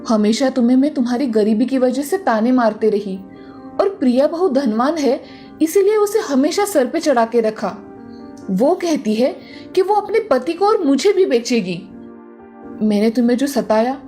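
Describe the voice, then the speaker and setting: A woman's voice: high-pitched, animated, moderate pace, dark tone, faint music behind. A woman playing an older, scolding mother-in-law in a cartoon moral story. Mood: remorseful.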